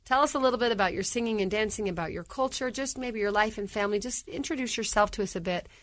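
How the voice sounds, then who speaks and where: A woman co-hosts an interview show in relaxed conversation. She thinks aloud, reflecting on what the guest says before asking more. Her pitch is in the middle range. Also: fast talker. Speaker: podcast co-host. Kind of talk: casual interview talk.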